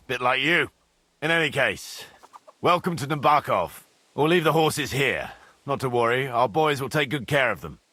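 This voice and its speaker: A man playing a blunt, mocking, foul-mouthed medieval mercenary commander in a video game, with a husky, forceful voice over music. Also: mid-range voice, animated, unhurried, no echo. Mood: welcoming. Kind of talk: video game cutscene acting.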